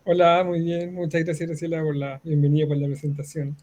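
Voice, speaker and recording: A Chilean man in his thirties, a science educator, explaining his views clearly as an interview guest. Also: fairly high pitch, completely flat delivery, brisk, darkish tone. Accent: Chilean Spanish. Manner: articulate, explanatory. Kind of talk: interview answer.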